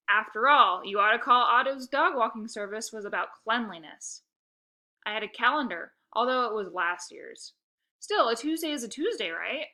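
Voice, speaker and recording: Bright-voiced woman reading a story. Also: medium pitch. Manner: expressive storytelling. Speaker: read-aloud narrator. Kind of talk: scripted children's story narration.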